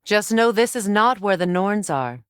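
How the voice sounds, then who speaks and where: An actress playing a proud, determined Norse goddess and Valkyrie queen in scripted video game dialogue, measured and composed in manner. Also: mid-range voice, expressive, clear, resonant tone, no echo, clean studio sound, lightly acted. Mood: measured, wry.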